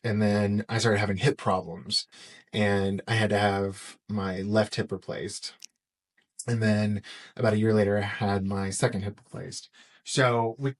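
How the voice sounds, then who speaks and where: A middle-aged American man from Texas talking casually as a podcast guest in an interview. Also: on the low side. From Texas, United States.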